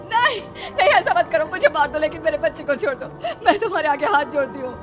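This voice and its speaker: A protective, fearful mother from a cartoon ghost story, acted by a woman. The voice is pitched high and urgent. Clear music plays behind it. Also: animated, quick pace, crowd noise. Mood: panicked pleading. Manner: urgent, tearful pleading. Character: frightened, protective village mother pleading for her son. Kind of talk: cartoon horror story voice acting.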